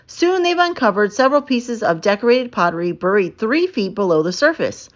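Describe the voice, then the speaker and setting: A woman narrating a children's book aloud in an expressive, emphatic storytelling manner. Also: medium pitch, brisk, dull audio. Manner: animated, emphatic storytelling with stretched key words.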